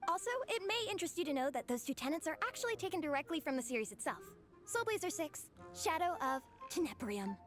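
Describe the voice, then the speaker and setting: An earnest young superfan in a video game, high-voiced and gushing. She talks with dramatic, lively swoops while music plays clearly underneath. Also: quick pace. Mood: eager. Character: excitable, earnest young female comic book superfan.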